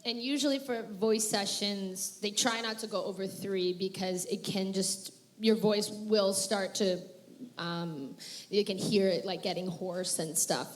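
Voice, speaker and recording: A woman voice actress answering questions at a convention panel, chatty and rambling with frequent fillers and laughs. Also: medium pitch.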